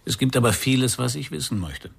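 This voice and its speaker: A man's voice: medium pitch, animated, brisk, vocal fry, breathy edge, dry recording. An actor playing a world-weary male detective in a German radio crime drama, speaking in a laconic, pensive way. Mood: probing. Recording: 1991 radio play with music behind.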